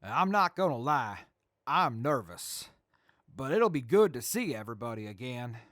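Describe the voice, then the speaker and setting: A man's voice: mid-range voice, big pitch swings, moderate pace, fairly bright tone, no echo, clean studio sound. A man playing an earnest, plainspoken army major with a folksy manner in a radio drama. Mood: subdued, sincere.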